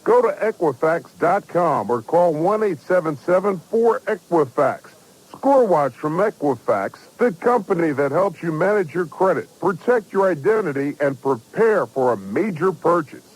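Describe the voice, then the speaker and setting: A man's voice: fairly high pitch, animated, moderate pace, slightly rough, rough recording. A man reading a scripted radio advertisement as an announcer.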